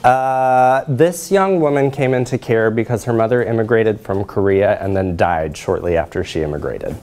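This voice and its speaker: Male academic presenter, middle-aged, on the low side, measured and explanatory delivery in a lecture room. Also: darkish tone, a little hiss or hum. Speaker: presenter, social work researcher.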